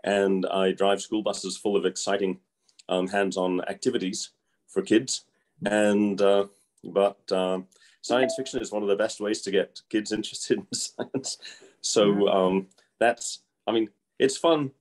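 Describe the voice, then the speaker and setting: A man's voice: fairly low pitch, steady tone, measured pace, darkish tone. An older man, a retired math professor, introducing himself eagerly on a video call with lots of hesitations. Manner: enthusiastic, hesitant, many fillers. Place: indoor room. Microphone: laptop on a video call.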